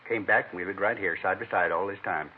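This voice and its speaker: An actor playing a rambling, earnest middle-aged American neighbor in an old radio crime drama, plain-spoken and folksy. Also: male voice, low-pitched, quick pace, a little hiss or hum, natural voice. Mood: earnest, upset. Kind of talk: 1950s radio acting. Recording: thin, narrow-band vintage radio recording.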